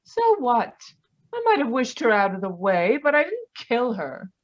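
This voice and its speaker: A woman acting as a proud, melodramatic suspect in a vintage radio mystery. Her fairly high voice swings widely in pitch, on slightly dull, lo-fi audio. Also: wildly swooping pitch, normal speed. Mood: scornful, defiant. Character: proud, scornful female murder suspect, melodramatic.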